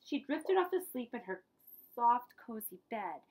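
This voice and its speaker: Very animated woman reading a storybook. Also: a little high, a little slow. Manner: animated, dramatic storytelling emphasis with friendly asides. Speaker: librarian reading aloud. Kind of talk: expressive children's picture book read-aloud.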